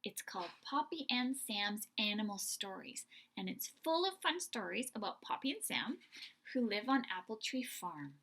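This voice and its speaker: A woman hosting a read-aloud for young children, telling the story in an expressive, deliberate way. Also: medium pitch. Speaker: children's story reader and host.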